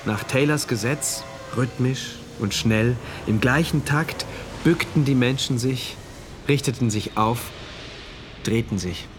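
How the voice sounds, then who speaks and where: A man's voice: medium pitch, slow, noisy background, natural voice. An actor playing a troubled, introspective male diarist who narrates a dystopian radio drama in diary entries. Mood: brooding, detached.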